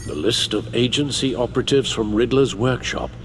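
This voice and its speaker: A man's voice: medium pitch, moderate pace, a little hiss or hum, natural voice. A man playing an elderly, dutiful British butler in a video game, speaking formally and carefully with fatherly gravity. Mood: mournful. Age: older. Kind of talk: dramatic video game acting.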